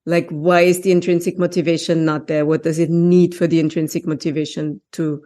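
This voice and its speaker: A woman hosting a podcast on language, chatting casually and asking long, lively questions. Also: deep voice, brisk. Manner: lively, laughs often, asks long questions. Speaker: podcast host, linguist. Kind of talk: casual interview.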